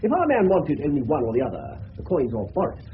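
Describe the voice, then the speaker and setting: An actor playing an eccentric, commanding senior police officer in a 1970s radio drama, with an upper-class English accent and a pompous, whimsical drawl. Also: male voice, a little high, wildly swooping pitch, fast talker. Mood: brisk, musing. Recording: muffled narrow-band old radio recording, hiss.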